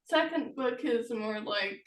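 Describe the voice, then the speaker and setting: Woman, casual conversational author, higher voice, slow halting delivery, trails off; roomy, distant recording. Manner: halting, trailing off mid-thought. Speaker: author and interview host. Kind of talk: casual chat. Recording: echoey room, distant from mic.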